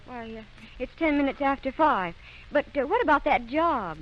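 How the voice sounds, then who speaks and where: An actress playing a polite, curious doctor's office assistant in an old radio drama. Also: female voice, high-pitched, expressive, moderate pace. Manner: polite, inquisitive. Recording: muffled, noisy old radio recording.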